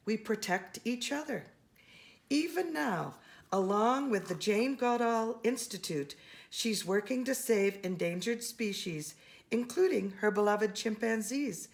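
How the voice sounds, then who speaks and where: A woman reads a children's picture book aloud. Her delivery is unhurried and highly animated in pitch, at a middling register, with deliberate, dramatic stress on important words. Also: gravelly. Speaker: storybook reader.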